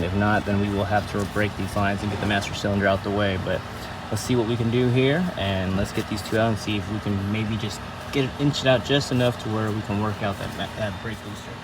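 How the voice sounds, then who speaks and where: A man's voice: fairly low pitch, distant mic, background noise. A man giving a casual, hands-on car repair tutorial in an informal, self-correcting way. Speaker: auto mechanic presenting a how-to. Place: at a car's engine bay and inside the cabin.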